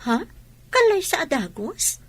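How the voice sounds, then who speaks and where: A woman's voice: extremely high pitch, very animated, a little slow, fairly bright tone, heavily acted. A woman acting a questioning, coaxing young woman in love in a radio drama, with a Filipino accent. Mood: surprised, hesitant.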